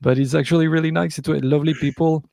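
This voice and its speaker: A man's voice: a little high, steady tone, fast talker, gravelly, dark tone, no echo. A man with a French accent, a guest on a podcast, speaking casually from his home studio over a video call and rambling with many fillers. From France. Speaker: guest DJ and producer.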